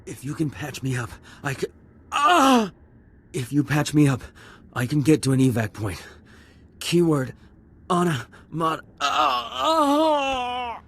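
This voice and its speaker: A man playing a badly wounded spy in a video game, faltering and halting with pain. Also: fairly high pitch, wildly swooping pitch, vocal fry, a bit bright, heavily acted. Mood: agonized.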